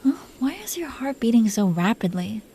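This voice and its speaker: Casting: woman as dragon queen for intimate audio roleplay. Dark, mellow tone; possessive, teasing, secretly tender, soft-spoken and coaxing. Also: on the high side, very animated, moderate pace, husky, full character voice. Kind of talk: intimate roleplay audio drama addressed to the listener.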